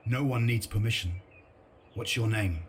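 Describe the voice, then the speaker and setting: Man playing a low-voiced, grim resistance commander. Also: moderate pace, a little hiss or hum, close to an everyday voice. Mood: grim resolve. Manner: blunt, dry, determined. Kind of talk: video game cutscene narration and briefing.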